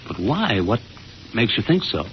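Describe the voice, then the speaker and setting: A respectful young american psychologist, slangy and eager, really lively pitch, dull old 1950s radio drama sound. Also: male voice, measured pace. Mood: puzzled. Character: eager, deferential young male psychologist, plain-spoken assistant. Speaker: radio actor. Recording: muffled vintage 1950 radio, faint noise.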